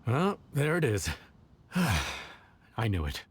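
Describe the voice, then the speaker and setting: Expressive, easygoing rival chef. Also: male voice, medium pitch, takes it slowly, heavy background noise. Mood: resigned. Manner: easygoing, sighing, thoughtful. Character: humble, good-natured male rival chef. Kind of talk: anime-style game voice acting. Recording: music behind the voice.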